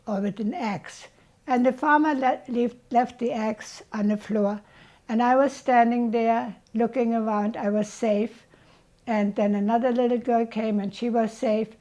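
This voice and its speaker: Old lady in her 80s remembering her childhood, slow and mellow, a bit high. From Germany. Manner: slow, reflective storytelling. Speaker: interviewee. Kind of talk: reminiscing about childhood.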